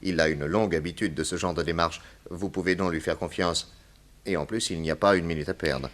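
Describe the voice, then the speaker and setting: An actor playing a shrewd private detective, assured, ironic and commanding, in a 1960s French radio crime drama. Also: male voice, deep voice, fairly even intonation, fairly quick, fairly bright tone. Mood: polite, probing.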